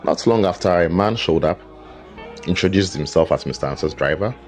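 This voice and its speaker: Very deep-voiced male storyteller. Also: lively intonation, brisk. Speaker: storyteller narrator. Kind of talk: scripted folktale narration. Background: background music with guitar.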